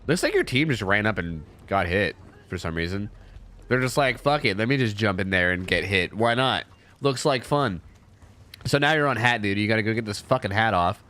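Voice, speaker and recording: A man's voice: on the low side, lively intonation, moderate pace, bright, forceful tone. A young man with a cold, commentating gameplay casually, sniffly, profane and rambling with lots of restarts. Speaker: gameplay reviewer.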